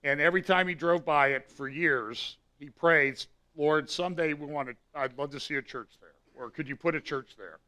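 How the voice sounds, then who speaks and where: Hoarse, raspy man giving a church talk. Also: a little high, moderate pace, few pauses, bright, forceful tone. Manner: conversational, with frequent fillers and restarts. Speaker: pastor giving weekly prophecy update.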